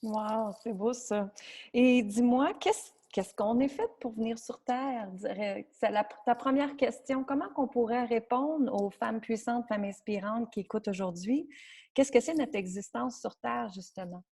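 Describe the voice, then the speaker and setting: A woman's voice: fairly high pitch, a little slow, warm tone, husky. A woman with a Quebec French accent hosting a podcast and chatting with a guest in a conversational interview style. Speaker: podcast host, coach.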